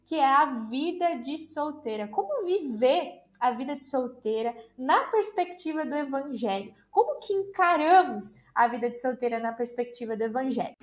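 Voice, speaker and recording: A woman hosting a casual podcast chat, lively and chatty. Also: high-pitched, normal speed, few pauses. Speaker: podcast host.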